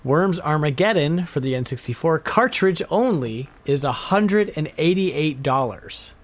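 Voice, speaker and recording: A man's voice: a little high, lively intonation, measured pace. A man hosting a podcast, chatting casually and informally about retro video games. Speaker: podcast host.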